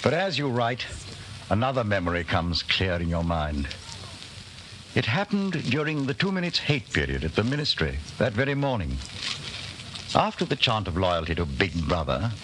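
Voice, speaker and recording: Dramatic, swooping radio narrator. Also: male voice, fairly low pitch, fairly bright tone. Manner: intense, suspenseful. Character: grave male narrator of a dystopian tale. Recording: slightly noisy 1940s radio recording.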